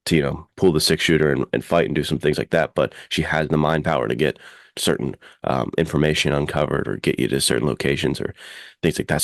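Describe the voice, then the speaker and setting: A man's voice: extremely low pitch, creaky, dry recording, studio quality. A man chatting casually and enthusiastically as a podcast co-host, rambling informally through his opinions. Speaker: podcast co-host and adventure fiction writer.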